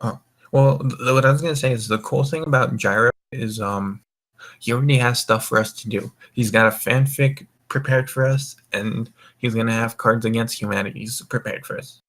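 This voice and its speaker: A man's voice: medium pitch, normal speed, a bit bright. A man hosting a casual voice-chat podcast, rambling with frequent restarts and stammered repeats, speaking into a home mic. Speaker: voice call host.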